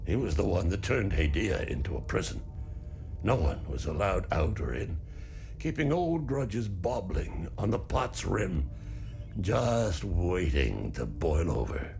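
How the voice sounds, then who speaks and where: An actor playing a weary, stoic older farmer in a video game, grieving and embittered by war, speaking in a measured way. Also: male voice, deep voice, sing-song, vocal fry, a little mellow, soft background music, exaggerated voice. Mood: bitter, grim. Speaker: non-player character. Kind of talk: video game dialogue acting.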